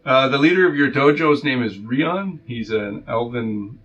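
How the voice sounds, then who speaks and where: A man's voice: medium pitch, lively intonation, a little slow, lo-fi sound, noisy background. A man running a tabletop game, casually asking his players about their characters. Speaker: game master. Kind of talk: casual session-zero chat.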